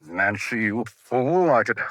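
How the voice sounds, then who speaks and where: A man's voice: lowish voice, lively intonation, measured pace, fairly bright tone, no echo. An actor playing an emphatic, edgy American man in a 1950s radio detective drama. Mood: tense, defiant.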